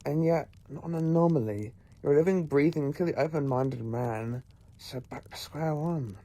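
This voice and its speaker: Eccentric professor and time traveller, male actor, audio drama. Clever, take-charge, musing; gravelly edge, soft dark tone. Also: on the high side, normal speed, natural voice.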